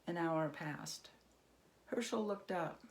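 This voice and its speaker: A woman's voice: fairly low pitch, slow pace. A woman reading a children's story aloud as a scripted narration. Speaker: storybook reader.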